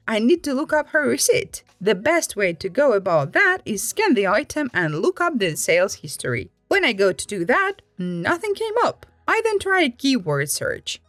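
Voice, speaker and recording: A woman narrates stories in an informal, expressive storytime style, with a fairly high voice that rises and falls a lot. The recording is close and dry, and she sounds like an animated host talking straight to listeners. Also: no room sound. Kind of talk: expressive storytime read-aloud with personal reactions. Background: background music.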